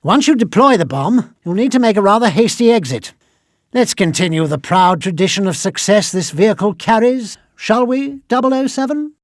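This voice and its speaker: Fussy high-voiced British gadget quartermaster. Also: male voice, expressive, normal speed, dry recording, clean studio sound. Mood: haughty briefing. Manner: pompous, clipped, sardonic nagging. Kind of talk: scripted video game mission briefing.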